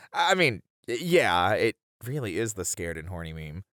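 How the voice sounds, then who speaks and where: A man's voice: fairly low pitch, sing-song, unhurried, fairly bright tone, no echo. A man playing a hesitant but intrigued game developer in a conversational ASMR roleplay, casually thinking aloud on a close mic. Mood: amused, doubtful. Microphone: close studio mic.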